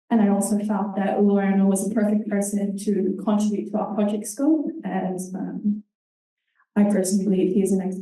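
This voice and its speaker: Young New woman, earnest halting presenter, dark mellow tone, heard from afar in a roomy hall. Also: medium pitch. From New Zealand. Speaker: intern presenter. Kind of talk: conference presentation. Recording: roomy hall, distant mic.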